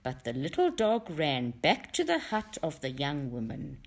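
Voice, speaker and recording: Low-voiced woman reading a tale, unhurried and animated. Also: creaky. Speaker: reader of a folktale for a book study group. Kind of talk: expressive storybook narration.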